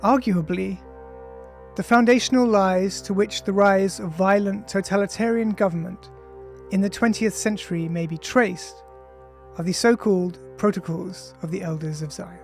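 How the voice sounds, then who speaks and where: An author reading their own nonfiction audiobook in a measured, formal, deliberate way. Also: mid-range voice, gravelly, faint music behind. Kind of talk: scripted audiobook narration of an essay.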